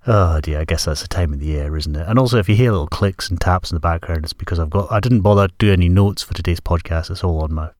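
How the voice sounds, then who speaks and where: Man, stuffy cold, very low voice, rambling podcast host, self-correcting. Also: no echo, studio quality. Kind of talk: casual music podcast links.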